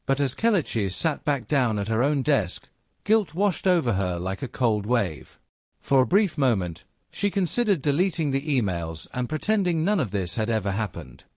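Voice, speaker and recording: A man's voice: mid-range voice, sing-song, normal speed, darkish tone, no echo, clean studio sound. A man reading a scripted dramatic story as a narrator.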